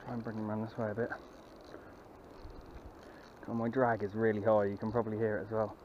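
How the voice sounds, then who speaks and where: A man in his thirties excitedly narrating a fishing catch to viewers by a lake, recorded on an action camera. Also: lowish voice, fairly quick. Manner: excited, chatty, talks to viewers. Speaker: angler vlogger. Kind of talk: casual fishing commentary. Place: windy lakeside outdoors. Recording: lo-fi camera audio with wind noise.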